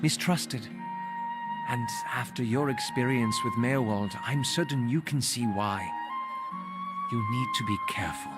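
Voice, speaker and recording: A man's voice: mid-range voice, measured pace, natural voice. A man voicing a haughty troubled young wizard. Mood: earnest. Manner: formal, precise, haughty. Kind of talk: game character dialogue. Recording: game dialogue over music.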